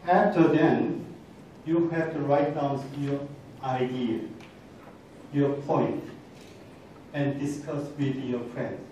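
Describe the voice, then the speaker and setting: A middle-aged man with a Korean accent giving a halting, earnest motivational talk to students, often repeating and rephrasing himself. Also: mid-range voice, steady tone, mellow. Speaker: guest speaker. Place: large indoor university lecture hall. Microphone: handheld microphone, captured from across the room. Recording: very echoey hall, distant, faint noise.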